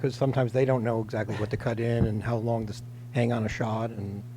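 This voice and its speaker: A man moderating a stage conversation, chatty and quick with his questions, on a handheld mic. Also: medium pitch, level tone, dark tone, a little hiss or hum. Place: theater stage before an audience. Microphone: handheld microphone.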